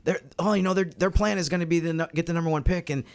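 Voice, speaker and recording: A man's voice: on the high side, fairly even intonation, rapid-fire. A man taking part in a conversational sports discussion as a podcast panelist.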